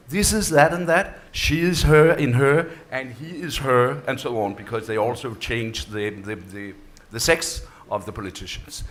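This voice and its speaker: A Danish man speaks in careful English that is not his first language. He lays each point down deliberately and leans hard on the key words. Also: medium pitch. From Denmark. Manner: deliberate, emphatic, with unusual word choices. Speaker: panelist, former television drama chief. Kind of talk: panel Q&A answer. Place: on stage before an audience.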